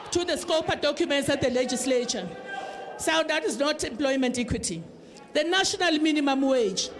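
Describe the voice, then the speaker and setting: A political representative with a South African accent speaking emphatically and combatively in a public debate. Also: high voice. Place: large public town hall event. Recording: crowd noise at live event.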